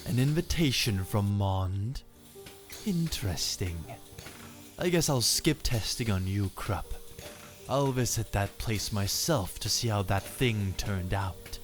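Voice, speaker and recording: Male actor for a cold villain lord, menacing and deliberate, lowish voice, slow pace, dub drama. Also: lively intonation. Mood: coolly intrigued. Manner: slow, deliberate, threatening delivery. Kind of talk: dramatic fan dub acting. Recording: music behind. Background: background music.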